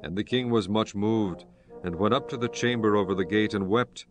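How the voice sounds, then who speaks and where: Low-voiced male scripture narrator. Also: normal speed, over music.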